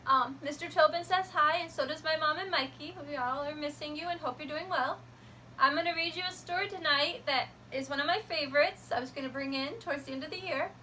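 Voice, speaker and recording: A woman teacher reading a picture book aloud to her students, gentle and expressive. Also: sits high, slight background noise, poor audio.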